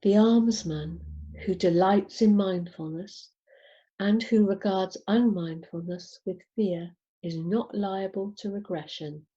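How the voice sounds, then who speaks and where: Slow, mellow woman on a dull call recording. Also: medium pitch. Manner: deliberate, pausing between short phrases. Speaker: community member reading scripture aloud. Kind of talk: measured read-aloud of Buddhist scripture. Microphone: video call over Zoom.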